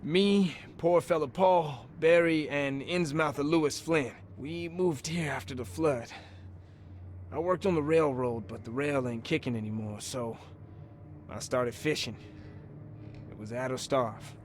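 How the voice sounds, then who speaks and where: An actor playing a dazed, anxious working-class fisherman in a video game, speaking in halting, groggy, clipped phrases. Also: on the high side, a little hiss or hum, close to an everyday voice. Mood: groggy, subdued. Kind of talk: dramatic video game voice acting.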